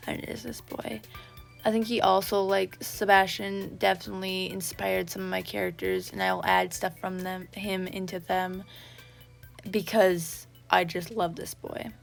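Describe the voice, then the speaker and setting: A woman's voice: mid-range voice, vocal fry. A woman vlogger chatting casually and gushing like a fan in a rambling way, over background music. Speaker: YouTube vlogger. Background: video game background music.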